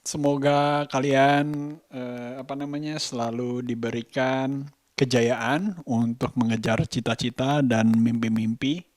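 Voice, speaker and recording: A man talking casually in an unscripted vlog, halting often as he searches for words. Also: medium pitch, fairly even intonation, no room sound, studio quality. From Indonesia. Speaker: vlogger and musician.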